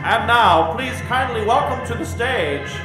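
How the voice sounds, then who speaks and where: A man's voice: very high-pitched, animated, measured pace. A man announcing a live radio show in a grand, showy manner from a theater stage. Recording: echoey theater, far off, loud music.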